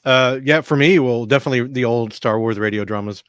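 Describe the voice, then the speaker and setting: A man's voice: mid-range voice, a little fast, smooth, no room sound, studio quality. A man co-hosting a live stream chat, enthusiastic and stumbling over his words. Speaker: podcast society co-host. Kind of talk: casual chat.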